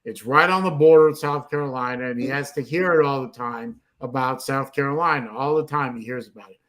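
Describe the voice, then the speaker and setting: A man's voice: mid-range voice, fairly quick, fairly bright tone. A middle-aged man from Florida hosting a casual livestream chat, blunt and rambling, swearing freely. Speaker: livestream host.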